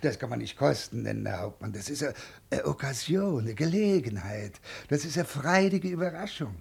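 An actor playing a wheedling second-hand clothes dealer who gushes, repeats himself and haggles in a 1950s German radio play. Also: male voice, mid-range voice, expressive, moderate pace, a little mellow, exaggerated voice. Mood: haggling. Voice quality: husky, creaky.

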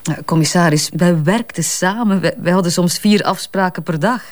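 An actress with a Flemish accent playing a brisk, candid advertising strategist who gets defensive under police questioning, in a naturalistic crime drama. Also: female voice, on the low side, natural voice. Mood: defensive, assured.

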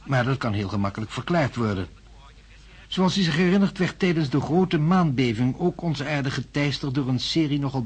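An actor playing an impatient, self-assured professor of science in a radio drama, speaking formally and pedantically. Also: male voice, medium pitch, slightly rough. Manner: formal, pedantic, emphatic lecturing.

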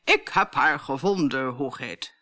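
Woman as a slow, formal royal manservant. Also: a bass voice, animated, sharp tone, voice pushed down, exaggerated voice. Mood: formal, solemn. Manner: measured, formal. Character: formal male royal servant, polite and dignified.

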